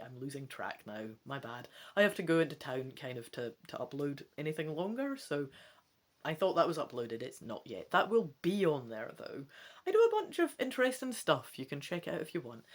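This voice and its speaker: A woman presenting a gamebook playthrough, mixing theatrical story reading with wry, chatty asides in an expressive manner. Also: lowish voice. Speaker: gamebook let's play presenter.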